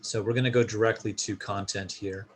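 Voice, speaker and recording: A man's voice: lowish voice, steady tone, fairly quick, slight background noise. A man presenting an informal step-by-step tutorial, explaining a screen share in a casual instructional style.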